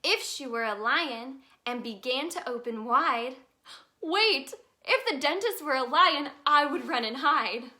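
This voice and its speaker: Lady reading a kids picture book, really high bright voice, sing-songy and expressive. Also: measured pace. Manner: expressive, sing-song delivery for young children. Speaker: children's storybook reader, in a tooth fairy persona. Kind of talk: read-aloud children's story narration.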